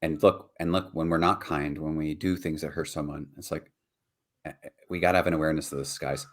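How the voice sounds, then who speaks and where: Man, very deep voice, low register, earnest, reflective, casual podcast talk. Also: fairly even intonation, a little fast. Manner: earnest, reflective, frequent "right?" and "like". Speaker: podcast co-host.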